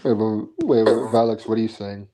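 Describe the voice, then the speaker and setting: A man's voice: fairly low pitch, steady tone, moderate pace, mellow. A man chatting casually on a live stream, blunt and opinionated, often restarting his sentences. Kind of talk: casual chat.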